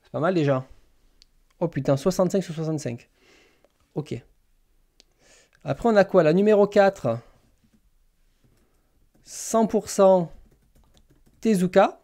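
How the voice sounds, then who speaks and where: A man's voice: sits high, takes it slowly, long pauses, warm tone. A man hosting a casual live stream, hesitantly thinking aloud as he types. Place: small indoor room lined with bookshelves.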